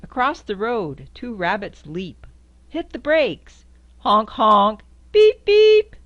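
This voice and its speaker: A woman's voice: wildly swooping pitch, slow, dull audio. An older woman reading a children's picture book aloud in a sing-song way, recorded indoors on a camera in front of her. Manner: sing-song, squeaks high on the horn sounds. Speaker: storybook reader. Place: indoors before a quilt backdrop.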